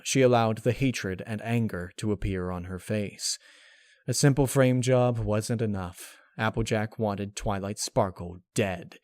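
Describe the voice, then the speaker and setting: A man reading a scripted piece of fiction as narrator, in a measured, serious, dramatic storytelling manner. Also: lowish voice, no echo, clean studio sound.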